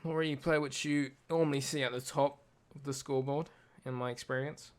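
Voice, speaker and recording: A man commentating a competitive shooter match play by play, tired and a little raspy late at night. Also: medium pitch, steady tone, fairly quick. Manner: self-deprecating, talks through tech trouble. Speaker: esports caster.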